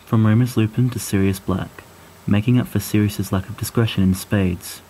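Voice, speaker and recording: A man's voice: lowish voice, fairly even intonation, moderate pace, warm tone, slight background noise, poor audio. A man reading a story aloud as scripted narration. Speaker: fan fiction reader.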